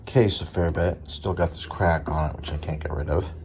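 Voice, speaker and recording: Very deep-voiced man, casual how-to talk. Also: steady tone, normal speed. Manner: plain-spoken, matter-of-fact, explaining step by step. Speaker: antique radio repair hobbyist showing a repair. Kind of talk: casual unscripted how-to commentary. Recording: faint background noise.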